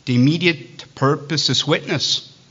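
Swiss-accented preacher with a bright voice. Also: male voice, fairly high pitch, husky. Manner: digressive, halting, with many restarts and fillers. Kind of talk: sermon with asides. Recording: dull lo-fi sound.

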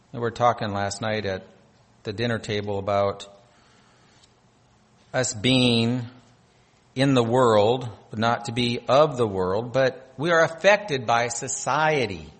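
A man's voice: medium pitch, gravelly, long reverb tail. A man from North Dakota preaching a sermon, reading scripture aloud with rising emphasis on key phrases. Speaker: pastor.

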